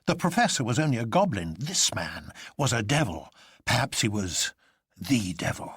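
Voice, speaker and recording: Male audiobook narrator: theatrical classic-fiction read, husky timbre, highly varied intonation, dry recording. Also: normal speed, dry recording. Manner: theatrical, expressive.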